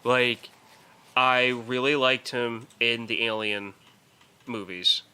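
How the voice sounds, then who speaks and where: Bright, emphatic man on a podcast. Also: medium pitch, fairly even intonation, clean tone. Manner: emphatic, opinionated, halting with repeated words. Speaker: podcast co-host. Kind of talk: casual film discussion.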